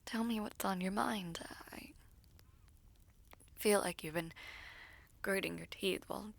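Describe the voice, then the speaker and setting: A woman playing a guilt-ridden, weary young villainess sorceress in an intimate audio roleplay, speaking haltingly with breathy, half-whispered softness. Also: medium pitch. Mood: tense, soft.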